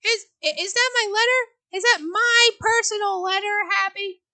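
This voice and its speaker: A woman voicing a frail, defensive elderly mother in a casual reading of a screenplay, with a high quavering wail. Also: moderate pace, pushed and bright, full character voice. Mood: alarmed.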